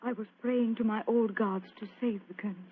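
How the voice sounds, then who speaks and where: A woman's voice: fairly high pitch, steady tone, a little fast, slightly rough. A woman playing an earnest, devout young foreign woman who pleads in a radio drama. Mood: protesting. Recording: tinny old radio recording.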